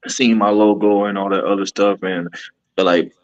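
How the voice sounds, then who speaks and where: A man's voice: on the low side, level tone, normal speed, lo-fi sound. A man, a podcaster and musician, talking earnestly in a casual interview with frequent restarts. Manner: earnest, rambling, many restarts.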